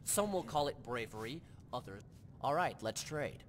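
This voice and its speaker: A man's voice: mid-range voice, expressive, moderate pace, close to an everyday voice. A man voicing a theatrical, self-assured adventuring companion in a fantasy video game. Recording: long hall reverb, faint background noise.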